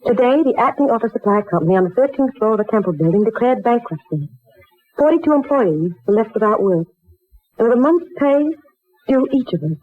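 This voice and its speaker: A woman's voice: on the high side, expressive, a little fast. Uneasy young woman, tinny old radio. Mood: grave, searching. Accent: American. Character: uneasy, earnest young working woman named Mary. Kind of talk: 1940s radio acting. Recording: muffled, tinny 1940s radio recording with music.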